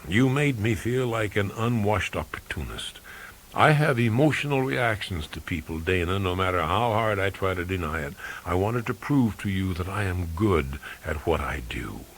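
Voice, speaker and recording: A man's voice: deep voice, expressive, normal speed, fairly bright tone, a little hiss or hum. A man playing a blunt, wry, middle-aged male investigator in an audiobook story. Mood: intense, earnest.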